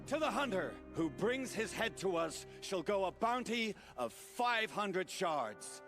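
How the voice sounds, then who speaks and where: A booming male herald. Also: big pitch swings, slow, heavily acted. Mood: proclaiming. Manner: loud, solemn, proclaiming. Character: male herald proclaiming a bounty. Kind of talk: video game cutscene acting. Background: music.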